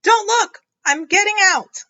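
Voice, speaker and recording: Picture a nervous little frog boy from a storybook, performed by a woman reading aloud, whining and spluttering. The voice is very high and bright, swooping with excitable protest. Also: slow pace, muffled recording, heavily acted. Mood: embarrassed, alarmed. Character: whiny, nervous, excitable young male frog child.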